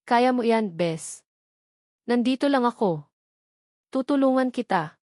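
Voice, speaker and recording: A woman's voice: on the high side, lively intonation, takes it slowly, darkish tone, no echo, clean studio sound. A woman with a Filipino accent voicing a worried, loyal young female best friend in an audio story, concerned and informal. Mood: amazed, supportive.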